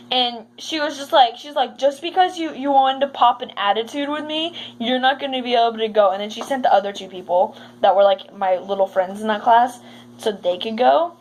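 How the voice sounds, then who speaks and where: A woman's voice: on the high side, low-quality recording. A young American woman chatting casually as she tells stories, acting out the dialogue in a giggly way. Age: teen or young adult. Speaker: vlogger telling school stories. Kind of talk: casual storytime chat. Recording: faint background noise.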